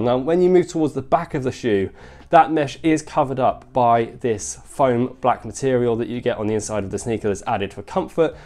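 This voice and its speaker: A man's voice: mid-range voice, dark tone. A man with a bunged-up, congested nose, giving an upbeat sneaker review vlog and often repeating "really, really nice". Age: adult father.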